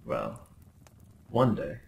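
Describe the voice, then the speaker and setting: A man's voice: rumbling low, big pitch swings, very slow, creaky, slight background noise, full character voice. A man playing a smug, possessive crown prince in a roleplay audio drama, speaking with formal, condescending politeness and theatrical flair. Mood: tender, obsessed. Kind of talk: roleplay ASMR acting.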